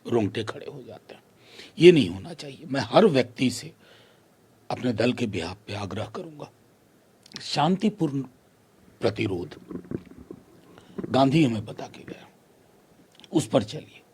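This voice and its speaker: A man with a very raspy voice making a measured political statement for his party. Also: medium pitch, animated, a little mellow.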